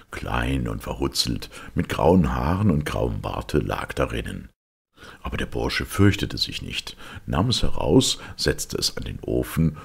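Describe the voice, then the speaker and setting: A man narrating a fairy tale from a script in an expressive, dramatic way, with a gravelly, husky voice. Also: a bass voice.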